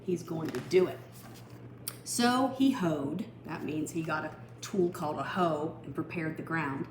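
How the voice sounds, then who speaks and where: A woman reading a picture book aloud for children, expressive and explanatory, with teacherly asides. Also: low voice. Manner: explanatory, expressive, pausing to define words. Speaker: storytime reader. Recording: echoey room with faint noise.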